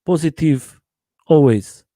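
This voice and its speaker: A man's voice: fairly high pitch, warm tone, no echo, studio quality. Man hosting a live chat. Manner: halting non-native English, many uh fillers. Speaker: channel host interviewer. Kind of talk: live-stream interview chat.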